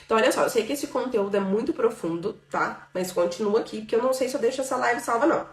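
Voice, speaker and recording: A woman's voice: on the high side, expressive, fast, recorded from a distance. A Brazilian woman in her thirties giving a live class as a financial mentor, talkative, filling her talk with "né?" and "tá bom?". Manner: talkative, filler-heavy, checks in with "tá bom?" and "né?". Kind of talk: informal live lesson. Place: room with a live audience present. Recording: roomy, from off the mic.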